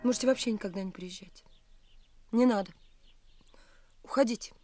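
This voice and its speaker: High-pitched, capricious young woman played by an actress. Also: normal speed, dark tone, dry recording, close to an everyday voice. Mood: hurt, imperious. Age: young adult. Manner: mocking, impulsive, imperious. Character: capricious, teasing, bored young provincial merchant's daughter. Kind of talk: radio play acting.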